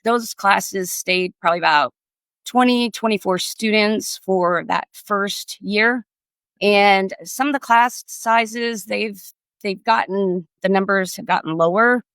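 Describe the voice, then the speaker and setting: A woman's voice: medium pitch, takes it slowly, fairly bright tone, clean tone, no echo. A middle-aged woman speaking as an instructor, explaining things casually in an interview. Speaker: air traffic control instructor.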